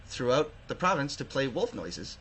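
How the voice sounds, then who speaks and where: A man's voice: medium pitch, big pitch swings, moderate pace, fairly bright tone, a little hiss or hum, rough recording. A man with a Canadian accent giving opinionated news commentary, emphatic, sardonic and prone to swearing. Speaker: online commentator hosting his own show. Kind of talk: opinionated news commentary, partly reading articles aloud.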